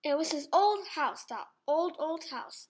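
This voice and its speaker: Woman doing a slow storybook read aloud for little kids, high voice, faint noise behind. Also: expressive. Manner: deliberate, addresses child listeners. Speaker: storybook reader.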